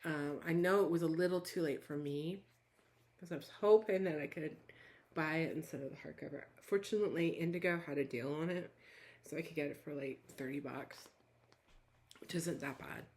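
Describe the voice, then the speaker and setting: A hoarse woman giving a chatty, rambling book review full of fillers and restarts. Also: low-pitched, moderate pace, darkish tone. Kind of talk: casual book review chat.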